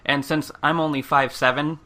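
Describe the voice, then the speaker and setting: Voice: medium pitch, steady tone, normal speed, bright, forceful tone. A podcast host chatting casually and telling personal anecdotes in an informal, giggly way.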